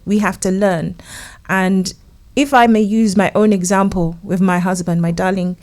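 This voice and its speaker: A woman's voice: medium pitch, fairly even intonation, normal speed, darkish tone. Earnest woman sharing relationship advice. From England. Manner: earnest, tells stories, acts out her husband's lines. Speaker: relationship consultant guest. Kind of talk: casual conversational interview.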